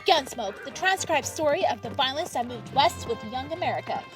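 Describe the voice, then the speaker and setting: A woman's voice: high-pitched, lively intonation, brisk. A woman announcer reading a dramatic, scripted intro for an amateur western radio show. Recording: student radio play, music and horse effects behind.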